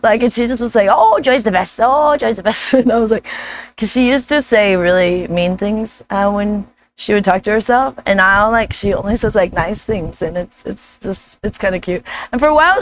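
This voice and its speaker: A woman with a hoarse, gruff voice chatting casually in her vlog, rambling and laughing often. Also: mid-range voice. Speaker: vlogger. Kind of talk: casual chat.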